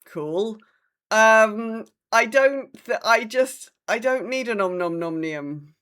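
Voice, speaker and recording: A woman's voice: a little high, expressive, slow, fairly bright tone, clear, resonant tone. A British woman co-hosting a gaming podcast in casual chat, with a wry, hesitant way of talking. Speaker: podcast co-host.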